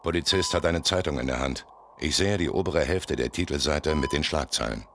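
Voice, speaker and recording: An actor playing a blunt, curt gangster boss in a radio drama. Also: male voice, rumbling low, fairly quick, fairly bright tone. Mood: detached. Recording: clear background noise, sizzling ambience.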